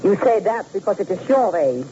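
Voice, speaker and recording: A woman acts out a jealous, suspicious Nazi henchwoman with a German accent. Her tone is dark and her manner cold and sardonic. It comes through as a muffled vintage radio drama recording with faint noise. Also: mid-range voice, sing-song, moderate pace, close to an everyday voice. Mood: doubting. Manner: sardonic, needling, wary. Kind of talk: 1940s radio drama acting.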